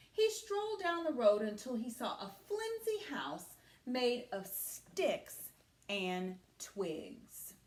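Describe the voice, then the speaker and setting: A woman teacher reading a story aloud to young children, with dramatic, deliberate phrasing and hushed asides. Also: a little high, roomy sound.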